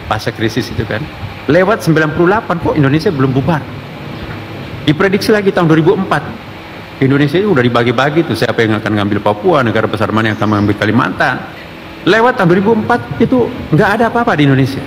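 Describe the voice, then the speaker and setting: Fast-talking man over clear background noise. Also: a little high, sing-song.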